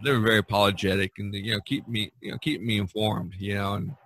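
A man's voice: lowish voice, fairly even intonation, fast talker, fairly bright tone. A man hosting a casual artists' podcast, talking in a rambling, easygoing way and laughing often. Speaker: podcast host. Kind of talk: casual chat among artists.

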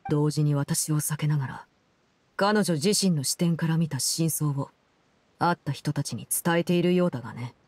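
An actress playing a cold, commanding woman in an anime game, speaking in a measured, formal, detached way. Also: deep voice, a little mellow. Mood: calm, resolute. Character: cold, composed, commanding female Fatui Harbinger in her prime. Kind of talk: Japanese anime game voice acting, solemn storytelling.

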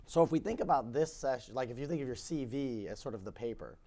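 A man's voice: mid-range voice, expressive, fast talker, dark tone. A man in his thirties with a slightly scratchy voice, interviewing a guest on a conference stage in a chatty, hesitant way full of ums. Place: conference stage with curtain backdrop. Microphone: lapel mic.

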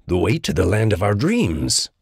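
A small storybook bear, played by a male reader, reassuring and sure of himself. The low voice is gentle and steady, with a husky edge. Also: normal speed, no room sound, clean studio sound, exaggerated voice. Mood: earnest. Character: calm, reassuring little bear, kindly and confident.

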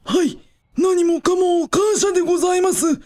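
A woman's voice: extremely high pitch, normal speed, mellow. A woman playing a deferential older hotel cook and grateful parent in a radio drama, speaking with humble formality. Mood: grateful, relieved. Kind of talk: scripted radio drama acting.

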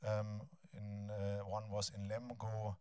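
A man in his fifties with a German accent gives a lecture in a large bright hall on a handheld mic, hesitating often with ums and restarts. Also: fairly low pitch, monotone, studio quality. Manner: halting, frequent ums and restarts. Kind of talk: academic lecture, then reading a text aloud.

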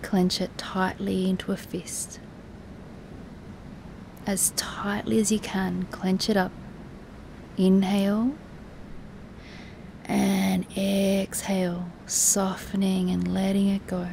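Slow, even-toned woman guiding meditation. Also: mid-range voice, gravelly, slight background noise. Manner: soothing, soft, instructive, with long pauses. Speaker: yoga nidra meditation teacher. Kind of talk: guided relaxation meditation.

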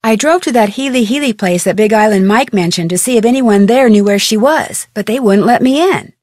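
A woman's voice: mid-range voice, fairly quick, a little mellow, no echo, clean studio sound, close to an everyday voice. An American woman voicing an upbeat, clever young female detective in a video game, narrating earnestly with wry asides. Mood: earnest recounting.

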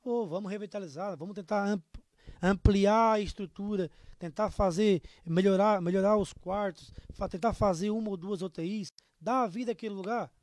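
A man's voice: high-pitched, moderate pace, a little mellow, dry recording. A man in his thirties with a southern Brazilian accent, speaking earnestly and colloquially as a candidate in an interview, into a studio microphone. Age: 30s. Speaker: political candidate being interviewed. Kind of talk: interview answers, campaign appeal. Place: small indoor studio. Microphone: microphone on a stand.

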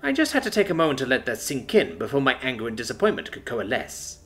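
A man's voice: medium pitch, huge pitch swings, quick pace, a bit bright. A man voicing a brusque, exasperated female military captain who talks in curt, sarcastic lines.

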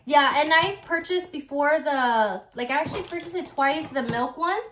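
Chatty young woman, high voice. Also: moderate pace, a bit bright, slight background noise. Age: 20s. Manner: chatty, lots of "like" fillers. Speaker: YouTuber. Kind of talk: casual chat. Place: bedroom. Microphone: phone camera. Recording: echoey room.

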